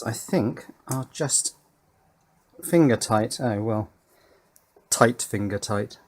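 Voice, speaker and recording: A man's voice: medium pitch, slight background noise. Wry man giving a hands-on electronics demonstration. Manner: wry, dry, understated humour. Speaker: electronics hobbyist presenter. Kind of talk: casual hands-on demonstration commentary.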